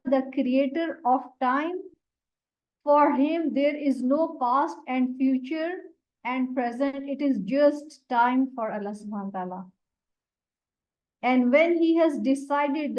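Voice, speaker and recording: A woman whose first language is Urdu, teaching a Quran lesson and explaining Arabic words one by one in a deliberate way. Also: high voice, a little mellow. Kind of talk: slow explanatory religious lesson. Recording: dull lo-fi recording.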